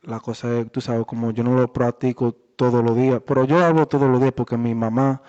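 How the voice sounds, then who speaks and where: A young man being interviewed about his boxing life, talking in a relaxed, halting way with many fillers. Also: medium pitch. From Worcester, Massachusetts area. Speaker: boxer, interview guest. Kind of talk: casual interview chat. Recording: dull lo-fi audio in a reverberant room.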